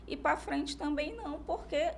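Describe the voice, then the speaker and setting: Brazilian woman, slightly high-pitched, informal expert lawyer on a podcast, illustrating points with imagined cases, light background noise. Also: unhurried, gravelly. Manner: explains through hypothetical examples and imagined client dialogue. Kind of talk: informal explanatory podcast discussion.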